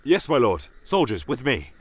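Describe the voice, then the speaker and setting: A man voicing an obedient male soldier in a fantasy audio tale, reporting briskly and dutifully to his lord. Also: mid-range voice, huge pitch swings, husky, voice pushed up.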